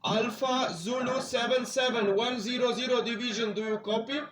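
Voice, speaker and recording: High-pitched Polish-accented man on CB radio. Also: fairly even intonation, reverberant, crowd noise. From Poland. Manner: deliberate repetition, procedural radio phrasing. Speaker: amateur CB radio operator. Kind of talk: radio contact calls in short repeated phrases.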